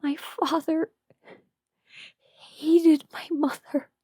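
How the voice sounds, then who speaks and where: A high-pitched, slow-speaking young queen played by a woman in a historical audio drama. She is proud yet trapped and distraught, with halting, emotional phrasing. The recording is close and dry. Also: level tone, warm tone, dry recording. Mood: grieving, haunted. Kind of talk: historical romance audio roleplay, dramatic monologue.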